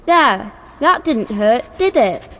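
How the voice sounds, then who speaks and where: A woman's voice: on the high side, very animated, takes it slowly, a bit bright, in a large hall, full character voice. An actor, heard as a woman, playing a cheeky, literal-minded royal messenger, deferential yet impudent, in a comic radio play. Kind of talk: radio comedy drama acting.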